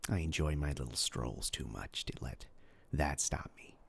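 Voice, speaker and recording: A man playing a sinister, hungry demon in a human body for a dark fantasy roleplay, speaking with slow, mock-polite menace in a gravelly, husky, breathy voice. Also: a bass voice, a little hiss or hum, heavily acted. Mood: sly menace.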